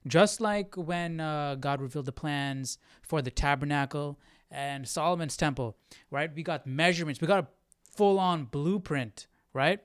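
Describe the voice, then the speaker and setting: A man hosting a live Bible study in a casual, halting, conversational way, often checking in with listeners as he explains. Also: fairly high pitch, clear, resonant tone, no echo, studio quality. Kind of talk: casual livestream Bible teaching.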